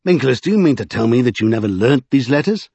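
A man's voice: medium pitch, big pitch swings, fast, vocal fry, warm tone, husky, no room sound, exaggerated voice. A man voicing an earnest, desperate serving man in a storybook, insistent and exasperated. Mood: pleading.

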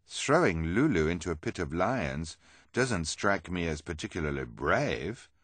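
Storybook circus woman, played by a man: earnest, plain-spoken, animated pitch, dry sound. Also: a little slow, no room sound, heavily acted. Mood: disapproving. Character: earnest female carnival contortionist, easily swayed.

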